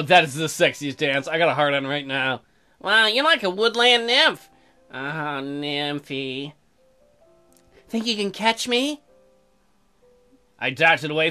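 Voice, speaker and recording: Let's play host, game narration and commentary, sarcastic, crude humor, bright voice, lively pitch swings, faint music. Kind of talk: reading game text with joking commentary.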